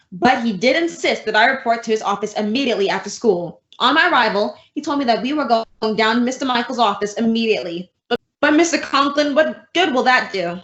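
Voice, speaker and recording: A woman plays a wry radio-comedy schoolteacher. The voice sits fairly high, with dry, wisecracking timing. The recording is roomy and somewhat dull. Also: fairly bright tone. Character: wry, quick-witted female schoolteacher. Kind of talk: old-time radio comedy acting and narration.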